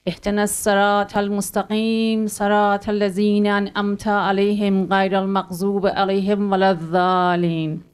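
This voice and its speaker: A woman with a medium-pitched voice, speaking as a participant in a gathering. Her delivery is plain and modest, with some hesitancy. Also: level tone, rapid-fire, hardly any pauses, smooth. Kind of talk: casual answers and plain Quran recitation.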